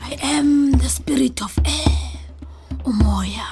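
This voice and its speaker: High, airy spirit of air. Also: female voice, slow pace. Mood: airy, mysterious. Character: soft, soothing female air spirit, can turn rough. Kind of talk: theatrical children's folktale audio drama. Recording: loud music over the voice.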